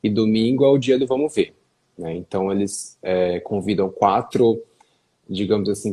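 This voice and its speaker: A young man with a Brazilian Portuguese accent chats easily as an interview guest, leaning on fillers and little tag questions. His voice sits low and husky, with a dark, mellow tone. He sounds like he is on a video call. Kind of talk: casual interview chat.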